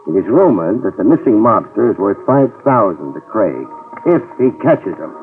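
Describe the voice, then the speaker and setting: An actor in a 1950s radio detective drama playing a tough, wisecracking middle-aged private eye who narrates in dry hard-boiled style. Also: male voice, on the low side, very animated, moderate pace. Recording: tinny lo-fi 1950s radio with music cues.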